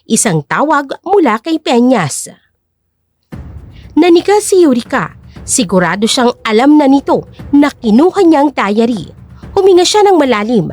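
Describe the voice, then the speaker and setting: A female narrator reads a scripted drama. Her voice sits high and moves with lively, sweeping intonation, in a dramatic storytelling style. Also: unhurried.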